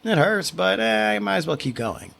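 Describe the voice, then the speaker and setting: A man with a quiet voice, hosting a solo podcast and talking in a reflective, rambling way with frequent restarts. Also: high voice, very animated, normal speed, gravelly. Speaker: podcast host. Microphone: studio microphone.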